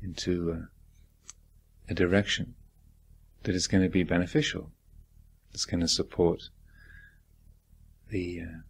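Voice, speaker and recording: A man teaching guided meditation, speaking in a deliberate, reflective manner with gentle questions and long pauses. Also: deep voice, level tone, creaky, husky.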